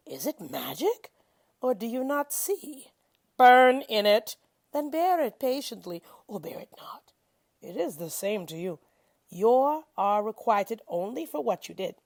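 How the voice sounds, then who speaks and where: A woman reading religious scripture aloud expressively, with measured pauses and dramatic emphasis. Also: mid-range voice, vocal fry, dry recording, studio quality. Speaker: reader of scripture.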